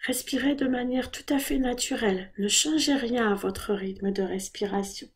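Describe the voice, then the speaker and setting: A woman leading a guided body scan meditation in a soft, breathy voice, giving calm, gentle, unhurried instructions. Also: fairly high pitch.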